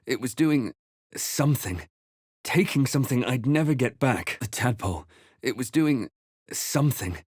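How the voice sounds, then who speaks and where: A man voicing a brooding adventurer hero in a game, narrating his perils in a measured, grave manner. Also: mid-range voice, lively intonation, a little mellow, no room sound, studio quality, lightly acted. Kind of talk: dramatic game narration and short character remarks.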